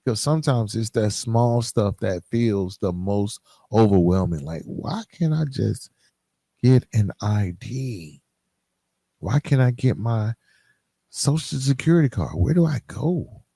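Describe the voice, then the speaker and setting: A man's voice: fairly low pitch, animated, measured pace, gravelly, warm tone, breathy edge, no room sound, clean studio sound. A man hosting a podcast solo, speaking in an earnest, emphatic motivational style straight to his listeners, repeating key phrases. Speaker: podcast host.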